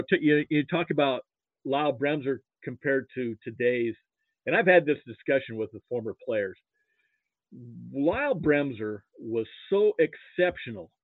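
An American man from Nebraska talking casually about sports as a podcast guest, halting and opinionated. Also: mid-range voice, dry recording. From Nebraska, United States. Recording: thin phone-like call audio.

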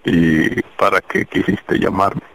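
A mechanic from Jalisco, answering a phone call in a relaxed, guarded-then-friendly way. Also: male voice, low voice, takes it slowly, no echo. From Jalisco, Mexico. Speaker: mechanic, prank target.

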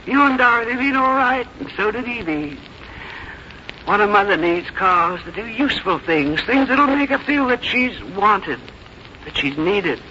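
Bright, folksy old farm mother. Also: mid-range voice, lively intonation, normal speed. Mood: earnest, firm. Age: 70s. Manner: folksy, homespun, firm. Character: plain-spoken, strong-willed seventy-three-year-old farm mother. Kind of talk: 1950s radio drama acting. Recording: dull, noisy old radio broadcast recording.

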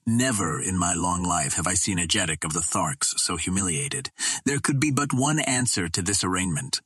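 A man's voice: sits low, lively intonation, moderate pace, a bit bright, husky, dry recording, studio quality, full character voice. Man as a cold, hard alien chieftain. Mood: cold, stern. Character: cold, hard alien male chieftain challenging his king.

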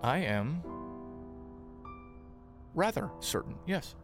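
Prim Puritan minister: higher-pitched male voice, stammering, formal period diction, music underneath. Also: very animated, very slow, heavily acted. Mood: prim, guarded. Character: prim, flustered Puritan minister, easily alarmed man. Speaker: voice actor in an audio drama. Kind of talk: period audio drama acting.

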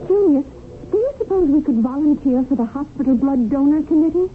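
An actress playing a glamorous, greedy, seductive widow in a radio drama, coaxing and insistent. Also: female voice, sits high, animated, fairly quick, narrow, tinny audio. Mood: breezy scheming. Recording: thin radio broadcast, faint hiss.

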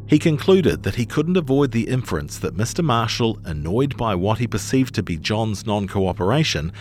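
Guy reading a longform article, lowish voice, pretty animated intonation, clearly scripted narration.

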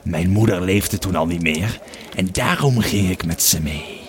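Man voicing a gruff, deep-voiced old Roman legionary. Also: background noise. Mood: solemn reminiscing. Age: older. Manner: gruff, slow storytelling. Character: grumpy old Roman legionary, male, weary and wine-drinking. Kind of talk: audio drama storytelling.

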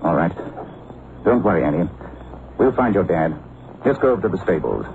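Old radio drama, suave gentleman sleuth, polished, dry irony, very varied pitch, hissy muffled sound. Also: big pitch swings. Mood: calm concern. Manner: polished, dryly ironic, unhurried. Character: suave, wry, gentlemanly amateur sleuth, calm and protective. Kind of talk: 1940s-50s radio drama acting.

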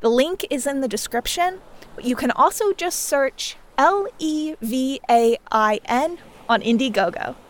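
High-voiced woman presenting a podcast promo. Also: animated, a little slow. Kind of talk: friendly scripted promo narration.

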